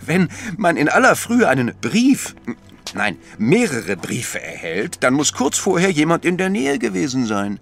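An actor playing an astute, patient priest detective in a German audio drama, explaining deliberately with gentle chiding. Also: male voice, on the high side, wildly swooping pitch, vocal fry, heavily acted. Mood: insistent.

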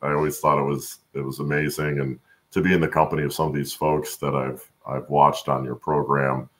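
A middle-aged man with a gravelly voice, talking haltingly and earnestly as a guest on a video-call interview. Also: extremely low pitch, flat delivery. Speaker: guest, supply chain expert and voice-over announcer. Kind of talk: conversational interview. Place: room at home, indoors.

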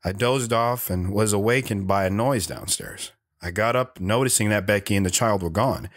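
A man's voice: fairly low pitch, moderate pace, close to an everyday voice. A man playing a reflective, stoic young soldier and father in an audio drama, with an understated delivery. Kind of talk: audio drama first-person narration and dialogue. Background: guitar music.

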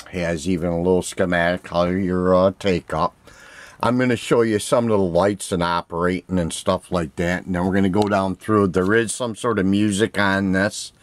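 Male seller for an off-the-cuff product demo: deep register, unhurried, plain-spoken, stops between short phrases. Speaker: seller demonstrating vintage audio gear for an online listing. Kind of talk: casual unscripted product demonstration. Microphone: handheld camera, speaker behind it.

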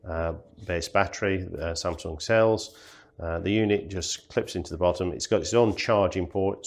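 Low-voiced man demonstrating gear. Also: creaky. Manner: plain explanatory, frequent ums and uhs. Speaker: product demonstrator. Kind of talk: product demonstration. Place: studio with green table, indoors.

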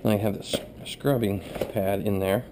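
Low-voiced man training over clear background noise. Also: steady tone, moderate pace. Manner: plain, practical, talking while working. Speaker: cleaning trainer. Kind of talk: casual hands-on instructional narration. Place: small workplace kitchen, indoors. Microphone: body-worn or head-mounted camera. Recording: noisy action-camera audio with room ambience.

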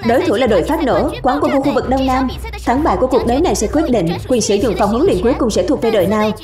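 A formal cartoon match announcer voiced by a woman, with a fairly high voice. The delivery is crisp and official, as if calling a tournament, in a roomy echo with clear music behind. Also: expressive, fast talker, lightly acted. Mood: formal, excited. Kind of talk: dubbed cartoon tournament commentary.

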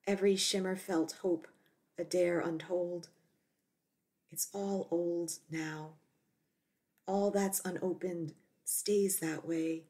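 A woman reads poetry in a slightly low voice at a very slow pace. Her delivery is measured, set out in short deliberate phrases with space between them. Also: steady tone, darkish tone. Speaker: poet. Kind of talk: poetry reading.

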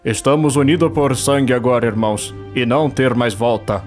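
A man with a Brazilian Portuguese accent dubbing a comedy cartoon in a panicked, shouty way. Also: mid-range voice, moderate pace, faint music behind. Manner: shouty, panicked comic delivery. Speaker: fan dubber. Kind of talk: fan dub of a comedy cartoon.

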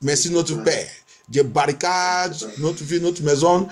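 A man's voice: on the high side, fairly even intonation, slow. A male pastor leading fervent prayer and preaching, insistently repeating his phrases like a chant. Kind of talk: fervent bilingual prayer and sermon.

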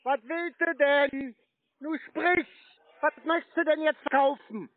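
A man answering scam calls in slangy casual chat, with a gruff, flippant and mocking manner. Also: very high voice, slow pace, dry recording. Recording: dry narrow phone-like sound.

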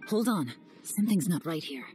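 Woman voicing a cool, lower-voiced spy. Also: expressive, moderate pace, warm tone, soft background music, natural voice. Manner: cool, clipped, understated. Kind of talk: video game cutscene voice acting.

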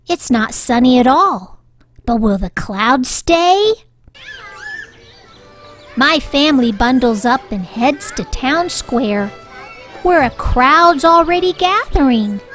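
A woman reading a children's story aloud in an expressive, rhyming sing-song way, with deliberate delivery. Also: high voice. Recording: music bed under the voice.

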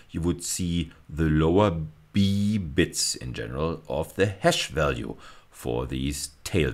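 A middle-aged man giving an explanatory recorded lecture, working methodically step by step and repeating key phrases for emphasis. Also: very deep voice. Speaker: university lecturer.

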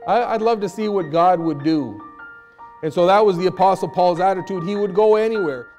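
A man's voice: brisk, a little mellow, faint music behind. A middle-aged man who grew up speaking Spanish at home, giving an earnest, conversational missions talk from a church pulpit. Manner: earnest, conversational, rises in pitch when stressing a point. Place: church sanctuary stage at a pulpit.

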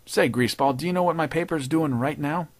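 A man's voice: fairly high pitch, a little fast, dry recording, voice pushed up. A man voicing a cocky, wisecracking male newspaper columnist with a taunting, sardonic delivery.

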